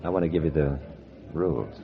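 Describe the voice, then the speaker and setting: Deep, cocky con man. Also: fairly quick, vocal fry, over loud music. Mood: sly, persuasive. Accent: American. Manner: clipped, slangy, breezy. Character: smooth-talking, cocky American con man schemer. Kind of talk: 1940s radio acting. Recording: muffled noisy 1940s radio recording.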